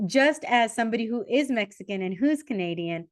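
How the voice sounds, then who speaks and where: A woman in her forties hosting a podcast in a relaxed interview chat, talking with quick asides and self-corrections. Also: on the high side, dry recording, studio quality. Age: 40s. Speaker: podcast host.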